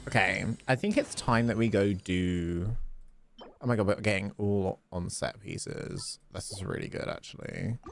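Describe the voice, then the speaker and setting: Casual livestream gamer, lively swooping pitch, unhurried, exclamatory self-talk. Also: creaky, a little hiss or hum. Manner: animated, exclamatory, talking to self. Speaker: game streamer, host. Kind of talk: casual livestream gameplay chatter. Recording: game music behind. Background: video game music.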